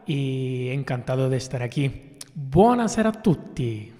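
A young Italian-accented man answers in an interview at an unhurried pace. He is hesitant and measured, and his voice carries in a reverberant hall. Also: mid-range voice, sing-song, mellow. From Italy. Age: young adult. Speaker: student teacher, interview guest. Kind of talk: casual spoken interview.

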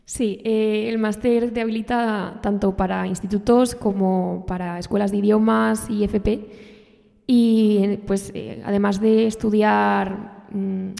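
A young woman with a Castilian Spanish accent chats as an interview guest. Her voice is heavily creaky and fairly monotone, heard in a reverberant hall. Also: medium pitch, a little slow. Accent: Spanish from Spain. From northern Spain. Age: young adult. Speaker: student teacher, interview guest. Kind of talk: casual spoken interview.

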